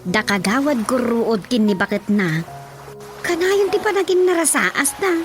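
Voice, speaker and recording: Observant, sensible village woman, high voice, Ilocano accent, gossipy radio comedy delivery over music. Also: animated, measured pace. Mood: gossiping. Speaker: drama actor. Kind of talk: conversational comedic radio drama acting.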